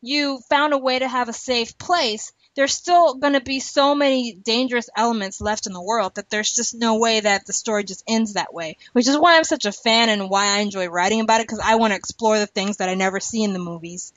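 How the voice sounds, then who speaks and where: A woman's voice: a little high, fairly quick, hardly any pauses, a bit bright, no echo. A woman hosting a casual podcast discussion, chatty and giggly as she rambles through her opinions. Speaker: podcast host. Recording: dull lo-fi podcast audio.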